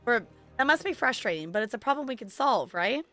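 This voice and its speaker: A woman game streamer chatting casually and in an animated way with her livestream audience as she plays. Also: fairly high pitch, a little fast. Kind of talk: casual livestream chat and reading game dialogue. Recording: music behind the voice.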